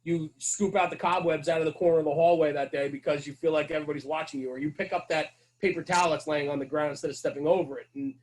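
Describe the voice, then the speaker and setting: A man's voice: fairly high pitch, steady tone, fast, few pauses, rough recording. A man speaking as a podcast guest, telling stories conversationally in an earnest, emphatic way with rhetorical check-ins. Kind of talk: conversational storytelling about firehouse culture.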